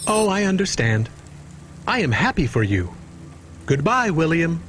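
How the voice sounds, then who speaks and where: An actor playing a childlike, earnest talking dog companion with a simple, guileless manner in a video game. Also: male voice, on the high side, big pitch swings, unhurried, music in the background. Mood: innocent, sincere.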